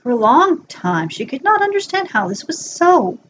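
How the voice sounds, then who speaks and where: A woman's voice: high-pitched, big pitch swings, moderate pace, fairly bright tone. A woman narrating fan fiction as a bedtime story, reading from a script in an amateur recording. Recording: dull lo-fi home recording, faint noise.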